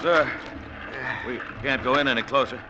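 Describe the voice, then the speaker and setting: An actor playing a dutiful, steady lifeboat seaman in an old radio drama, plain-spoken and deferential. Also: mid-range voice, normal speed, highs cut off, lightly acted. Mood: grave, urgent. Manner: plain, deferential to his captain. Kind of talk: 1940s-50s radio drama acting. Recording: old radio recording, clear sea noise.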